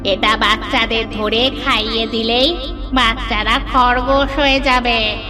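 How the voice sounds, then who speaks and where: Cartoon villain: woman as a child-hunting witch queen, fairly high voice, commanding and gloating, over clear background music. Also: fairly even intonation, measured pace, close to an everyday voice. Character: menacing female witch-ghost queen who hunts children. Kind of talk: cartoon fairy-tale voice acting.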